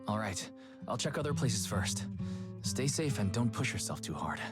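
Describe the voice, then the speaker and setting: Earnest, low-voiced young adventurer. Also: male voice, big pitch swings, moderate pace. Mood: considerate. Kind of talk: video game story dialogue. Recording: music behind the voice. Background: game music with guitar.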